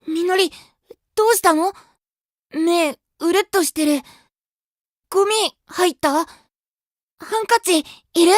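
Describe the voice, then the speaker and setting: Casting: sunny boyish game idol, extremely high youthful voice, sweet, innocent, short simple phrases, dry studio-like sound. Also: male voice, measured pace, long pauses, dry recording. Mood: innocently concerned. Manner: childlike, simple clipped phrases. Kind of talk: Japanese mobile game voice acting.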